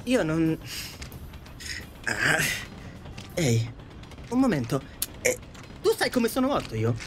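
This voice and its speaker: A woman voicing a confused, halting man who has newly died, in an audio drama. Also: sits low, sing-song, slight background noise, heavily acted. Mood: bewildered. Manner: halting, bewildered. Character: confused, hesitant newly dead man, passenger on an afterlife train.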